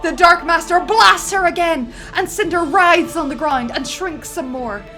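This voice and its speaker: A woman reading a script's stage directions aloud with lively, dramatic narration. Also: extremely high pitch, a little slow, faint music behind.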